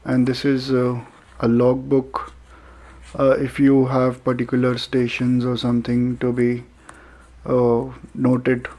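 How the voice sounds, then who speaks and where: A man with an Indian accent narrating a casual unboxing review, speaking haltingly with frequent filler pauses. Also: medium pitch, completely flat delivery, mellow. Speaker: product reviewer.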